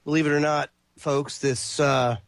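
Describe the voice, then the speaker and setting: A man's voice: fairly high pitch, flat delivery, measured pace, gravelly, no echo, studio quality. A male talk radio host who sounds congested, delivering a monologue and reading passages aloud with frequent fillers and restarts. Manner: reads quotes and lists aloud, with fillers and restarts.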